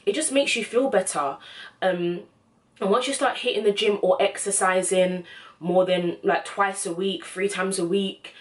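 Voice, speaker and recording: A woman's voice: medium pitch, steady tone, measured pace. A young woman gives casual, encouraging advice in a slangy, informal vlog style. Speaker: self-help vlogger.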